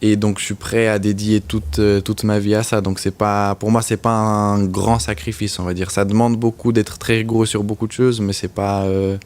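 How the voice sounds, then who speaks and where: A young man with a Swiss French accent answering interview questions in an earnest, steady way, using lots of little fillers. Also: on the low side, normal speed, hardly any pauses. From French-speaking Switzerland. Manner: earnest, steady, with frequent "ben" and "on va dire". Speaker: motorcycle racer guest.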